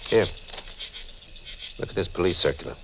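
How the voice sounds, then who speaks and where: An actor playing a smug, menacing self-styled police detective in a curt, sardonic 1940s radio mystery style. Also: male voice, sits low, expressive, normal speed, gravelly. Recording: muffled, noisy 1940s radio recording.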